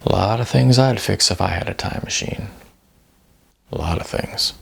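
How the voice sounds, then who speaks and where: A man's voice: on the low side, normal speed, gravelly, fairly bright tone, husky, heavily acted. A man voicing a laid-back, world-weary older man in an audiobook, laconic and easygoing with some hesitation. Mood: wistful. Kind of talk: audiobook character dialogue.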